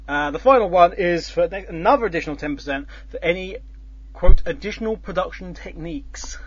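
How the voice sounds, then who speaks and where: A man hosting a casual talk, his voice sitting a little high. He rambles through the explanation, full of ums and restarts and the odd tease. The recording is dull and lo-fi. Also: expressive, fairly quick, breathy edge, no echo. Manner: rambling, many fillers and restarts, teasing. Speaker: host. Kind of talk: casual chat explaining a contest's scoring. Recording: dull lo-fi sound.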